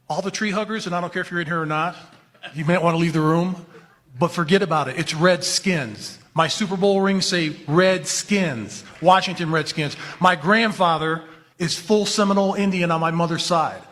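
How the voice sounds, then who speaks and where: An older American man talks off the cuff in a fairly high voice. He is blunt and emphatic, stating his opinions firmly. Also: moderate pace, a bit bright. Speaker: former pro football player. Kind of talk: opinionated unscripted talk.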